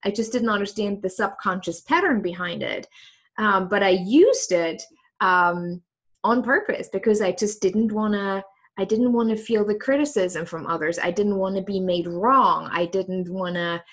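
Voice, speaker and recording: A woman's voice: medium pitch, moderate pace. A woman talking openly in a casual interview, reflective and thoughtful, with frequent ums and restarts. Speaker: host.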